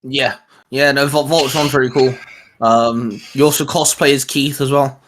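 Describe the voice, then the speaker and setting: A man's voice: mid-range voice, little pitch movement, normal speed, background noise. A man hosting a YouTube show, chatting casually about geeky favourites. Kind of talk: casual chat about cartoons.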